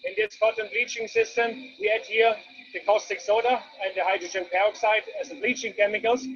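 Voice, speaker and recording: High-voiced man with a Swiss accent. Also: slightly rough, lo-fi sound. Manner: plain explaining, with non-native phrasing. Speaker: paper mill guide. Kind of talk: guided factory tour.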